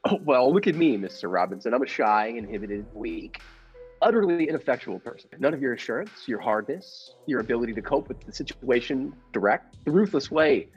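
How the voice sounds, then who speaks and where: An actor playing a timid, henpecked little husband in an old-time radio comedy, stammering and fussily polite. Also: male voice, mid-range voice, animated, fairly quick, lightly acted. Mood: earnest, agitated. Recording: dull lo-fi audio with music behind.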